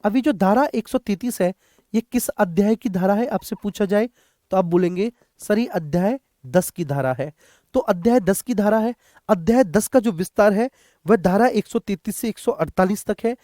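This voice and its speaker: A man's voice: sits high, animated, normal speed, warm tone, dry recording, studio quality. A man teaching an exam prep lesson, addressing students directly, repeating key points and mixing in English terms. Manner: repetitive, instructive, addressing students directly with check-in phrases. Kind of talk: question-and-answer exam lesson.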